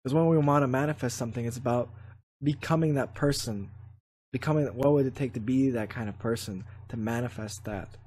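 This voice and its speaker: Husky guy talking to his channel, earnest and reflective, lots of "you know". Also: mid-range voice, fairly quick, darkish tone. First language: Polish is not his first language. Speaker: channel host. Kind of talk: casual spiritual vlog talk.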